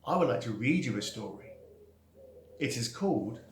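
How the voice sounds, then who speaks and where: A man's voice: mid-range voice, lively intonation, moderate pace, a little hiss or hum. A man reading his own rhyming children's story aloud, expressively, with dramatic emphasis and sing-song refrains. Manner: dramatic emphasis on key words.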